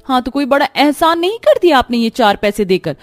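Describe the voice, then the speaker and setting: A woman's voice: high voice, big pitch swings, a little fast, no room sound, soft background music, voice pushed up. A woman voicing a haughty, mocking rich young sister-in-law in a cartoon family drama, boastful and sneering. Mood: angry, scornful. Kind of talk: Hindi animated family melodrama.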